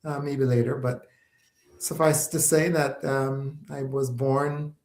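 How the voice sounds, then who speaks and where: A middle-aged man with a Jamaican accent speaks as a podcast guest, friendly and measured, pausing on "um" as he gathers his thoughts. His voice sits at a middle pitch, and the room around him adds a clear echo. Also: little pitch movement, a little mellow. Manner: friendly and measured, with frequent fillers. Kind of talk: casual interview answers. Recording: echoey room.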